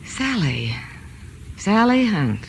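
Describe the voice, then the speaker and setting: An actress playing a spoiled, willful, sickly heiress in old-time radio drama, with a theatrical, insistent delivery. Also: female voice, medium pitch, big pitch swings, drawn-out words, creaky, heavily acted. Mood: brooding. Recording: old radio recording with music behind.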